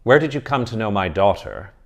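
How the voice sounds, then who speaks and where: A man's voice: on the low side, sing-song, brisk. A man voicing a grieving old colonel who speaks in stern, emphatic declarations.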